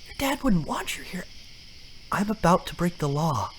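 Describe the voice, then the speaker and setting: A man playing an anxious, dutiful bird lover in an audio drama, speaking in a hushed, halting way. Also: sits high, big pitch swings, slight background noise. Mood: startled, wary. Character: Griffin, anxious, dutiful male bird lover sneaking around.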